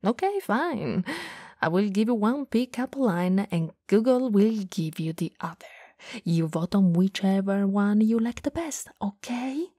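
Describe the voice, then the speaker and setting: A woman performs a playful, teasing goth older sister in a romantic ASMR roleplay. Her voice is dark and husky with breath, often slipping toward a whisper. She sits very close to the mic in a dry, intimate recording. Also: mid-range voice, expressive, measured pace, no room sound. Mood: mock offended, teasing. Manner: soft, breathy, drifting into whispers. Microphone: close, dry studio mic.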